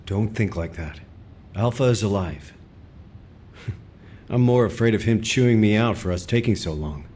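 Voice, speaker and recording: Patient game mentor, voiced by a man; lowish, reassuring, gently teasing. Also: unhurried, a little hiss or hum, natural voice. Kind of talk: game cutscene dialogue.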